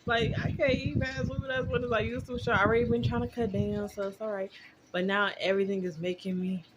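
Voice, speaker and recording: A woman's voice: on the high side, little pitch movement, brisk. A woman in her twenties chatting casually and candidly to her viewers, rambling in a conversational vlog style. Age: 20s. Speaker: vlogger. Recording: very noisy recording with clicks.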